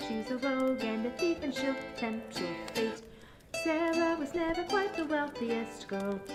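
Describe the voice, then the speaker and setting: A woman's voice: high-pitched, lively intonation, a little slow. A young woman playing a traveling bard, singing folk ballads in a fantasy audio roleplay. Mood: storytelling, playful. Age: young adult. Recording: roomy echo, plucked instrument music behind.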